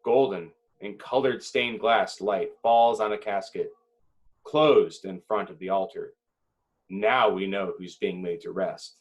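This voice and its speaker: A man reading screenplay directions as dramatic narration at a table read, with deliberate phrasing and short pauses. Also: low-pitched.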